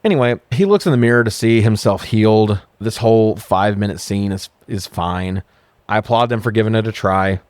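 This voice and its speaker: A man's voice: fairly low pitch, fairly quick, darkish tone, no room sound. A man co-hosting a casual film review podcast, recapping scenes in a joking, casually profane way. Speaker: podcast co-host reviewing films.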